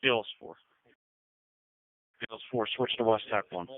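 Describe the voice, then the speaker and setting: A man's voice: on the low side, normal speed, no echo. A man working as a county fire dispatcher on two-way radio, reading back messages in a procedural way. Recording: phone-like narrowband radio transmission.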